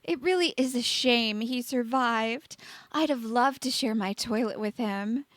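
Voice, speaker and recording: Woman doing a whiny teen ghost girl, high and sulky, super melodramatic storybook voice, dry sound. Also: a little slow, a bit bright, dry recording. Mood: sulky, coy. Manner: theatrical, sulky, wailing.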